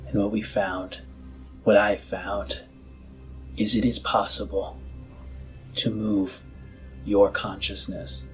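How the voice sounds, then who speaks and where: A man voicing a solemn, visionary politician and former scientist in a tabletop game, speaking in deliberate, philosophical speeches with dramatic pauses. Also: on the low side, little pitch movement, soft background music, low-quality recording. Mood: solemn, measured.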